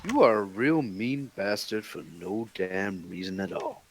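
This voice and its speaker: A man's voice: lowish voice, animated, measured pace, a little hiss or hum. A man reading the part of a put-upon, grumbling man in a script table read. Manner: grumbling, sarcastic.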